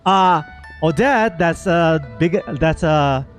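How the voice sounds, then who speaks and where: A man hosting a game stream, chatting casually and playfully, given to excited exclamations and rambling asides. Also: on the high side, takes it slowly. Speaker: streamer and host. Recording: music playing behind the voice. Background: game music.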